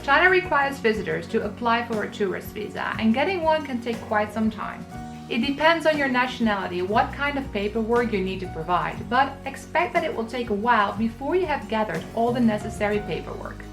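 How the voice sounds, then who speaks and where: A woman in her thirties from the Netherlands talks through travel tips, clear and practical in a scripted vlog delivery. Her voice sits a little high, with soft music underneath. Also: lively intonation, a little fast. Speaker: travel vlogger.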